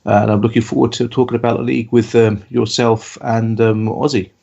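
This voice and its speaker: A man's voice: mid-range voice, little pitch movement, a little fast, vocal fry, a little mellow, slightly rough. A man in his late thirties from England, co-hosting a casual football podcast, talking in a rambling, hesitant way full of ums. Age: 30s. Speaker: podcast co-host. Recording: dull lo-fi audio.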